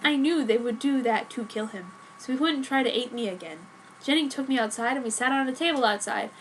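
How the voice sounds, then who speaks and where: A woman's voice: fairly high pitch, fairly quick, rough recording. A woman giving a dramatic reading of a fan fiction story. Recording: noticeable background noise.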